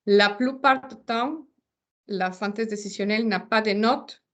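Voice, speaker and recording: A woman's voice: medium pitch, a little slow, a bit bright, dull audio. A non-native French-speaking woman with a foreign accent presenting a webinar informally, searching for words and often repeating them. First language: not French.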